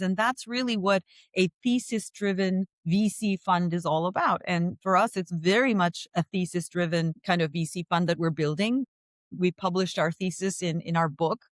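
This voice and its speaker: A middle-aged woman from India, a venture fund co-founder speaking as a podcast guest in measured, articulate, long flowing sentences. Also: medium pitch, dry recording. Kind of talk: interview conversation.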